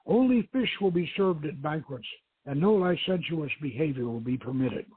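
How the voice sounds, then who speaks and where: A man's voice: fairly high pitch, expressive, moderate pace, breathy edge, no echo. A man voicing a devout, obedient merchant widow in a formal, submissive way, in a novel reading. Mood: devoted. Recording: thin, phone-like radio line.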